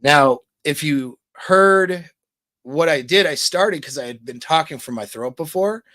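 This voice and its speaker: A man's voice: a little slow, no echo. Casual man coaching on a dry mic. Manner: demonstrates voice pitch changes, relaxed and chatty. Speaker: dating coach. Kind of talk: casual livestream coaching talk. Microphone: close microphone.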